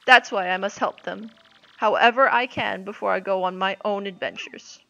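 A woman's voice: medium pitch, normal speed, gravelly, a bit bright, highs cut off. A woman voicing a grand, theatrical female wind spirit, once a famous stage actress, speaking with sweeping dramatic flair. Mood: earnest.

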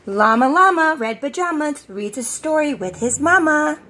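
High-pitched woman reading a children's story. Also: animated, normal speed, pushed and bright. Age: middle-aged. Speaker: preschool teacher reading a storybook. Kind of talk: children's story read-aloud. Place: outdoors on a covered porch.

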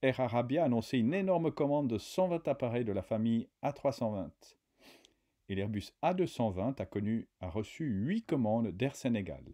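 A man reading a scripted news voice-over in a measured way. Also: medium pitch, expressive, warm tone. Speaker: narrator.

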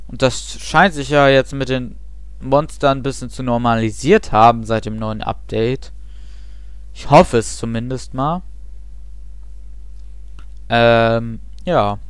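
A man's voice: mid-range voice, slow pace, long pauses, smooth, dry recording. A young man chatting casually and rambling with self-mocking asides while he plays a game. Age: young adult. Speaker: let's play host. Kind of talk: casual gameplay commentary.

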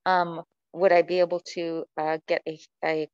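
A woman taking part in a live webinar, politely reading out and asking a question, halting and restarting as she speaks. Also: on the low side, flat delivery, a little mellow, smooth, no echo. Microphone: video call. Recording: dull dry video-call audio.

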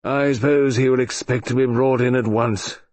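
A man voicing a gloomy, sleepy old heavyset colonel in an audiobook, who speaks in a slow, wheezy drawl. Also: medium pitch, expressive, dry recording, voice pushed up. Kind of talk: audiobook character voice.

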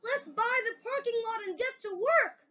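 A woman voicing a hopeful, determined lady, reading haltingly and repeating phrases, with music behind. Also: extremely high pitch, animated, voice pushed up.

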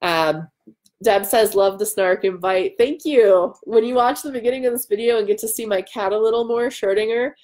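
A woman in her thirties talks casually to viewers on a livestream. She is chatty and enthusiastic, at a middle pitch, and happy to poke fun at herself. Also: moderate pace, darkish tone. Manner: chatty, enthusiastic, self-deprecating. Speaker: author hosting a livestream. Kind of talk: casual livestream chat answering viewer comments. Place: indoor room at home by a window.

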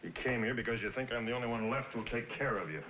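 A man's voice: medium pitch, quick pace, slight background noise, lightly acted. An actor playing a stern, bitter middle-aged American businessman in a 1950s television drama, curt and accusing. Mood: stern, cold. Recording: dull vintage TV soundtrack, loud music behind.

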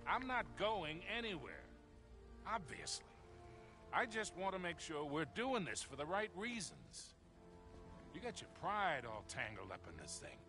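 Game sidekick: older American, gravelly, gruff and sardonic, lively pitch, music clearly behind. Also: male voice, unhurried, full character voice. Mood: stern, concerned. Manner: gruff, dry, folksy. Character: gruff older male mentor, weary, loyal, sardonic. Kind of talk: video game cutscene acting.

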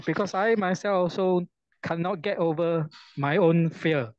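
A man hosting a video call Q&A, talking slowly and reassuringly and repeating himself, over dull call audio. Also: fairly high pitch, no room sound. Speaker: Zoom session host.

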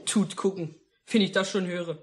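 A woman's voice: lowish voice, steady tone, moderate pace, close to an everyday voice. A female performer playing a cheeky, quarrelsome young boy in a 1950s radio play. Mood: taunting. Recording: noisy mono 1950s radio recording.

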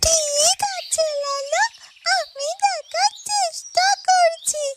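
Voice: extremely high pitch, slow, very noisy. A performer voicing a small, eager, caring female talking bird in a children's fairytale cartoon, speaking in a childlike, earnest way. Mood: curious, concerned. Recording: loud music behind the voice.

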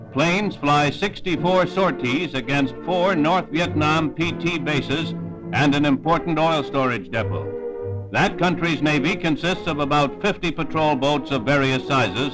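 An American man narrates a 1960s newsreel with formal emphasis. His voice sits a little high, and music plays clearly behind him. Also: poor audio.

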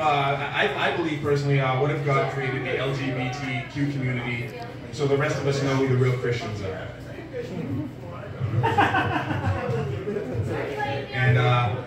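A man in his forties doing a stand-up set at an open mic, delivering deadpan one-liners with lots of filler pauses. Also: medium pitch. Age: 40s. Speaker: stand-up comedian. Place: small dim bar stage with a live audience. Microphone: handheld mic, filmed from far back in the room. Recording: echoey bar room heard from far off. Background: audience laughter and bar crowd.

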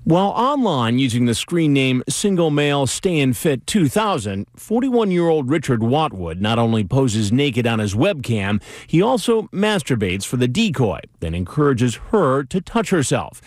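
A man's voice: medium pitch, big pitch swings, moderate pace, darkish tone, dry recording, studio quality. A man giving grave, scripted narration for a television crime documentary.

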